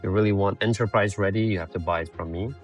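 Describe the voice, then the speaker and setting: A man's voice: sits low, fairly even intonation, fast talker, lo-fi sound, faint music behind. A man from Iran, a startup founder telling his story plainly and bluntly as a remote podcast guest. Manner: blunt, plainspoken, filler-heavy. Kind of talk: casual interview storytelling. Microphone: remote call.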